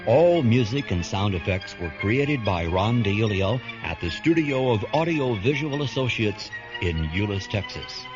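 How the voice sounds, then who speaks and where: A man hosting a radio drama series, reading scripted narration and credits with measured, dramatic phrasing. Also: on the low side. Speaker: series host and announcer. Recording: dull radio recording under loud music.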